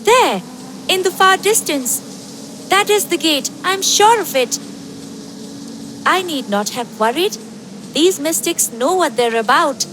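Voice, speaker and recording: A woman's voice: extremely high pitch, lively intonation, fairly bright tone, a little hiss or hum, heavily acted. A woman voicing a determined young game heroine who muses to herself in wonder. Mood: hopeful. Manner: slow, musing to herself. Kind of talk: video game character acting.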